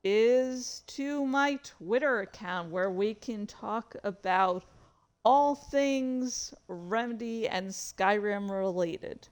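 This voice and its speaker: A woman's voice: mid-range voice, slow pace, clear, resonant tone. A woman hosting a livestream, chatting casually and rambling to her viewers in a playful, easily sidetracked way. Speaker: livestream host and streamer.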